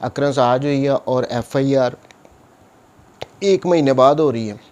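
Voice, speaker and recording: Pakistani guy teaching law, soft dark mellow voice, explains step by step, drops in english terms. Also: medium pitch, measured pace, dull audio. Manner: didactic, asks questions and answers them himself; mixes English legal terms into speech. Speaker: law lecturer, advocate. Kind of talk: explanatory lecture, reading statute aloud and explaining.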